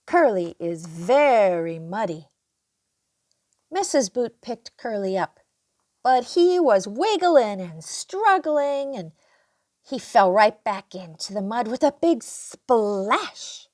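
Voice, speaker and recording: An older woman reading a children's picture book aloud in an expressive, unhurried way, acting out the dialogue. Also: fairly high pitch, no echo, clean studio sound. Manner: animated, unhurried, acts out dialogue. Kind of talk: expressive read-aloud storytelling for young children.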